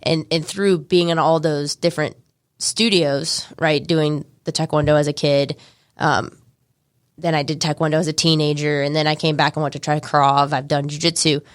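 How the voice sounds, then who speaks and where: A young woman with a low, Southern American voice tells stories in a quick, chatty way. She is a radio interview guest in a dry studio, laughing easily between thoughts. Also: flat delivery, dry recording. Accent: American, Georgia. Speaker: martial arts studio owner, guest. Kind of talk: casual interview chat.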